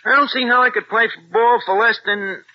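A man's voice: extremely high pitch, fairly even intonation, brisk. An actor playing a wisecracking American crook with slangy tough-guy talk and hesitant fillers, in an old radio drama. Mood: wheeling. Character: wisecracking American double-agent crook, streetwise. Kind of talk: 1940s radio acting. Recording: dull old radio recording.

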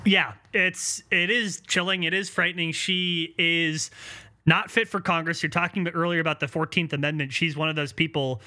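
A man with a high, very bright and forceful voice co-hosts a podcast. He gives casual political commentary, speaking emphatically and with firm opinions. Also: fairly even intonation, normal speed. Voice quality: high-pitched voice. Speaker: podcast co-host.

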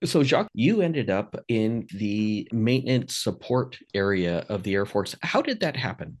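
Low-voiced male podcast host. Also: very animated, measured pace, darkish tone. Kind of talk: podcast interview.

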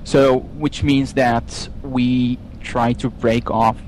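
A man from Israel with an Israeli accent, speaking English as a guest in a technical interview, with frequent pauses, fillers and restarts. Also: mid-range voice, little pitch movement, a little mellow, slight background noise, rough recording. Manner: hesitant, many fillers and restarts. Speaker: software engineer guest.

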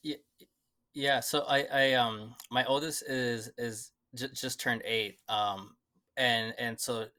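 A man's voice: medium pitch, steady tone, takes it slowly, sharp tone. A man, a parent, talking casually with a heavy stutter full of repetitions. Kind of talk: casual group chat.

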